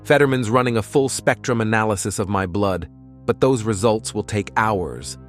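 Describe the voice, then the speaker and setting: A man's voice: lowish voice, lightly acted. A man voicing an anxious, self-doubting survivor muttering to himself in an audio comic. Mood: anxious denial. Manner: brooding, halting, self-talk. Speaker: voice actor. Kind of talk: audio comic dub, dramatic monologue. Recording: clear music behind the voice.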